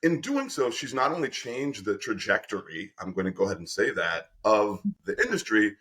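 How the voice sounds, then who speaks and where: A man hosting an interview podcast, energetic and effusive, often restarting his sentences. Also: on the low side, moderate pace. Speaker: podcast host and company representative.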